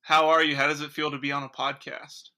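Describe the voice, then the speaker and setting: A man's voice: fairly high pitch, flat delivery, brisk. A man hosting a podcast in casual comedic chat, with a dry, mock-earnest manner. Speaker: podcast host.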